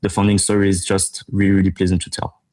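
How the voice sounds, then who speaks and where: Low-pitched man from Morocco, even delivery. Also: fast talker. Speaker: startup co-founder being interviewed. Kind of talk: conversational business pitch.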